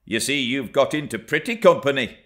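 Sensible housekeeper woman played by a man, storybook role, higher-pitched, brisk and admonishing. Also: lively intonation, fairly bright tone, natural voice. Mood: scolding. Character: protective, scolding housekeeper woman, prim and sensible.

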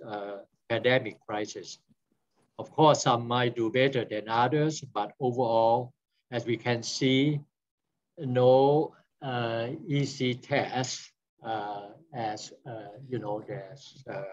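A man in his sixties chairing a conference session over a video call, speaking haltingly and searching for words as he introduces the panel. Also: medium pitch. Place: small office, indoors. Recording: dull lo-fi video-call audio.

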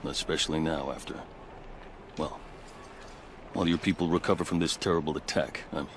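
Very deep-voiced composed captain. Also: male voice, fairly even intonation, a little fast, noisy background. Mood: diplomatic. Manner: courteous, formal. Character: composed male gate captain, diplomatic and dutiful. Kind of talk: dramatic video game acting. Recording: music behind the voice.

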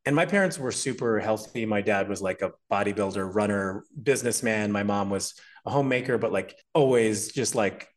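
A man in his forties from Montreal, a fitness expert guesting on a podcast, telling his life story in an animated, chatty way. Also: fairly low pitch, brisk, hardly any pauses. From Montreal, Canada. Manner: animated, storytelling, laughs often. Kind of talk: casual interview chat.

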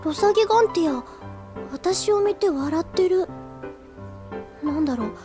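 Anime drama schoolgirl: very high voice, earnest and self-doubting, faint music behind. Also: expressive, normal speed. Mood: flustered. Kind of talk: anime audio drama acting, with inner monologue.

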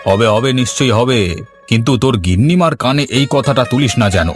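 A man voicing an indulgent, blustering village landlord who is henpecked, in an animated story. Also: mid-range voice, quick pace, close to an everyday voice. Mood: amused, wary. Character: indulgent male village landlord, nervous of his wife. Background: faint background music.

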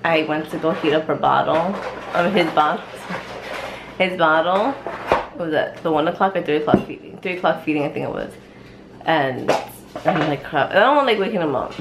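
A woman's voice: deep voice, lively intonation, moderate pace. A woman in her twenties, a stay-at-home mom, chatting playfully to camera in a family vlog, dropping in Spanish words. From United States. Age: 20s. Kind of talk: casual family vlog chat. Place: home kitchen, indoors. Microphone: handheld camera at arm's length. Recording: echoey room, clear background noise.

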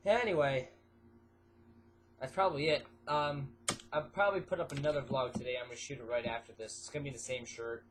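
A stuffy-nosed teenage boy chats loosely into a desk webcam, his voice sitting at an ordinary middle pitch. He wanders from topic to topic with plenty of "uh" and "um." A faint hiss runs under the small bedroom recording. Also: steady tone, fairly quick. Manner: rambling, filler-heavy, jumps between topics. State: stuffy nose. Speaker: vlogger. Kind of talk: casual webcam vlog.

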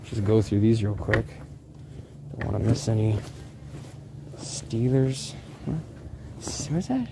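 A man's voice: lowish voice, steady tone, slow, pauses often. A man giving casual, off-the-cuff vlog commentary in short, slangy phrases as he sorts through things. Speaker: vlogger, vintage clothing reseller. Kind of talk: casual off-the-cuff commentary while sorting clothes. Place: large indoor thrift warehouse. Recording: clear background noise of a warehouse.

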